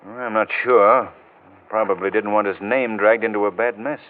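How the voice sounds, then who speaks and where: Actor as a cool, low-voiced gentleman sleuth. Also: moderate pace, natural voice. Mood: wry, assured. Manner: calm, wry, authoritative. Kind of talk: 1940s radio drama acting. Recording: hissy, tinny old radio recording.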